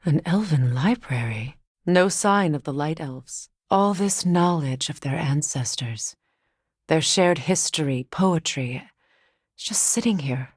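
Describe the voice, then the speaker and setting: Low-voiced, solemn warrior goddess. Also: female voice, lively intonation, slow, slightly rough, no room sound, clean studio sound, heavily acted. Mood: somber, reflective. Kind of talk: video game voice acting.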